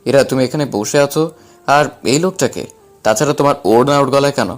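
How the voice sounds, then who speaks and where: A man playing a protective, defiant young husband, the calm hero of an audio story, with a firm manner. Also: medium pitch, a little fast, lightly acted. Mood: firm, challenging.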